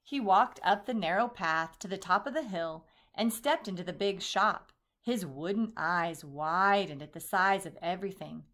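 A woman reading a children's picture book aloud in an expressive storytelling manner. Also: on the low side, normal speed, hardly any pauses. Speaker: storybook reader.

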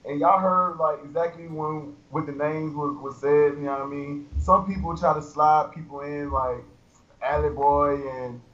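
A man's voice: on the high side, fairly even intonation, moderate pace, a bit bright. A man giving a casual interview in loose street slang, with repeated verbal tags. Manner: loose, repeats "you know what I mean". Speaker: interview guest, rapper. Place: indoor studio room, on a video call. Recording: echoey, dull, noisy video-call audio.

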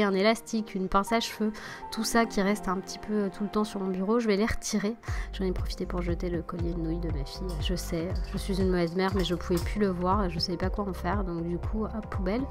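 Mom vlogger, thirties, relaxed self-mocking narration with fillers, over clear music. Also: female voice, medium pitch. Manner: chatty, self-deprecating, many hesitations. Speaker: vlogger mother. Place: home living room. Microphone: voice-over at desk. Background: background music.

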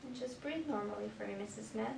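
A woman's voice: a little high, quick pace, creaky. A young woman playing a nurse in a clinical demonstration, politely talking a patient through assessment steps. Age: young adult. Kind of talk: scripted clinical role-play, explaining steps to patient. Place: small clinic exam room, indoors. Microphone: far camera microphone. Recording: echoey room, distant mic, noisy background.

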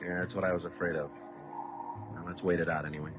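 A very low-voiced, deadpan police detective from an old radio crime show, acted by a man. He is calm and clipped, speaking in plain matter-of-fact sentences on a dull vintage recording. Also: fast talker, over music, natural voice. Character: calm, businesslike male police detective narrating his case. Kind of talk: vintage radio police drama, scripted narration.

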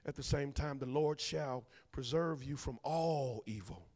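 A man, preaching a sermon as minister. He swings between loud bursts and soft asides, teasing his congregation. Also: mid-range voice, measured pace. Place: large church sanctuary. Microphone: handheld mic. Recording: long church hall reverb.

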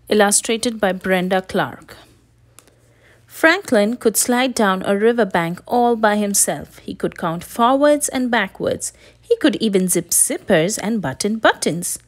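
A woman narrating a children's story aloud in an expressive way for young listeners. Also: mid-range voice.

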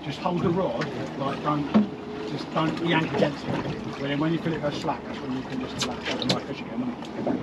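A man's voice: fairly high pitch, moderate pace. A man, coaching his family and chatting to camera while fishing from a small boat at sea. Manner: encouraging, coaching, excitable. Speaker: fishing boat skipper and vlogger. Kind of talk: casual on-boat coaching and commentary. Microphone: action camera on the boat. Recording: loud wind and engine noise on deck.

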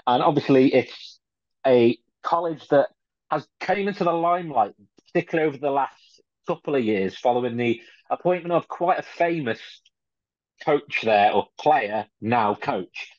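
A man's voice: mid-range voice, lively intonation, moderate pace, dry recording. A middle-aged man hosting a podcast, asking long winding questions and leaning on the word "obviously". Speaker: podcast host. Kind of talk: interviewing. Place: home room indoors. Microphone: video call. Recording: dull lo-fi video call audio.

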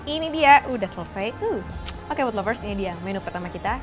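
A woman's voice: medium pitch, expressive, fast talker. A young Indonesian woman presenting a TV cooking show, chatty and asking questions, mixing English words into her speech. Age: young adult. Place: TV studio kitchen set, indoors. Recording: background music, sizzling pan. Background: music and frying sounds.

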